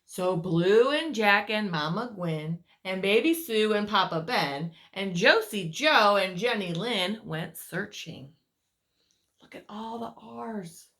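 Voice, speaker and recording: A woman's voice: mid-range voice, expressive, slow pace, fairly bright tone. A woman reading a picture book aloud to small children as a teacher would, stretching words for dramatic emphasis and adding asides to her listeners.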